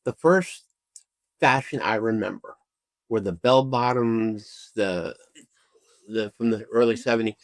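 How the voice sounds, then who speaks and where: A man in his fifties hosting an interview, asking relaxed questions and reminiscing. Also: fairly low pitch, unhurried, long pauses, no room sound. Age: 50s. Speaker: interview host. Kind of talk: conversational interview.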